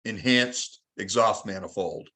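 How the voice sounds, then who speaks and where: Bright, forceful-voiced man moderating a discussion. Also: fairly low pitch, measured pace. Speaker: conference call moderator. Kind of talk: technical club discussion.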